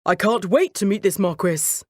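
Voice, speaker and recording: A woman's voice: mid-range voice, expressive, normal speed, no echo, clean studio sound, natural voice. A woman voicing a gracious king who is fond of gifts, regal and commanding, in a children's story. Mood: curious, eager.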